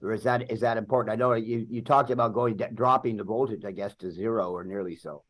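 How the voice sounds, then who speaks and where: A man in the audience asking a speaker polite, hesitant questions, with many pauses and "uh"s. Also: lowish voice, steady tone, a bit bright. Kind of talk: question and answer.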